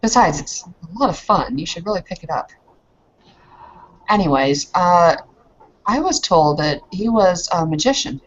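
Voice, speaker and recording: A woman's voice: fairly low pitch, lively intonation, unhurried, long pauses, dull audio. A woman playing an earnest, proud young newcomer in an improvised roleplay, with formal, hesitant, questioning phrasing. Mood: chatty.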